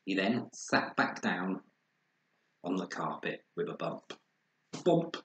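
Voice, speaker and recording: A man narrating a children's story with expressive, dramatized delivery, recorded in an echoey room. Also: deep voice, slow pace. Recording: echoey room with faint noise.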